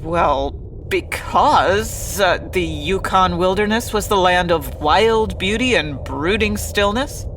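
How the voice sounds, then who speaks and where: A woman's voice: lowish voice, slow pace, fairly bright tone, a little hiss or hum, poor audio. A woman playing a grave, slyly teasing narrator of a frontier adventure in an old-time radio comedy. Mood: grave, dramatic. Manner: grave, theatrical, sly.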